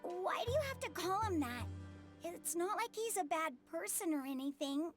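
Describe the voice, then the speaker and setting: A young village boy with a very high voice. He is earnest, worried and insistent, stumbling over words as he pleads, in an anime game with music underneath. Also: expressive, normal speed. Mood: defensive, pleading. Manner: pleading, stammers on first words. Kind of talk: anime video game voice acting. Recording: music behind.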